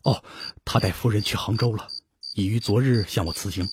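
An actor playing a watchful, authoritative leader of a secret revolutionary meeting in a Chinese radio drama, measured and sober. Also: male voice, lowish voice, slight background noise, lightly acted.

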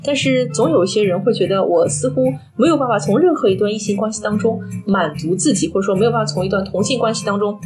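A woman's voice: medium pitch, quick pace, hardly any pauses, music in the background, rough recording. A woman co-hosting a podcast, talking through a film in a reflective, analytical, conversational way. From Shanghai, China. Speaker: podcast co-host.

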